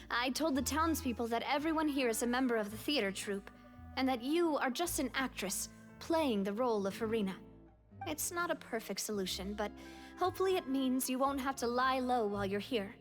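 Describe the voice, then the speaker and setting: A woman's voice: a little high, expressive, normal speed, lightly acted. A woman voicing a confident, caring young female leader in a video game, assured and reassuring. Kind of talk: video game cutscene dialogue. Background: faint game music.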